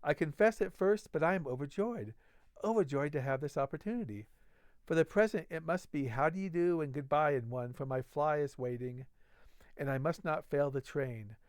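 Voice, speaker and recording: A man reading the storybook part of an esteemed doctor, flustered and evasive, in a hushed, formal, placating manner. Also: a little high, moderate pace, dry recording, clean studio sound, lightly acted. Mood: startled, placating.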